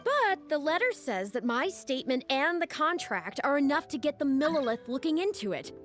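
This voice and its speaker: A woman voicing a flustered, naive young female rookie journalist in a video game, excitable and expressive. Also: high voice, moderate pace. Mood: relieved, earnest. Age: young adult. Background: music.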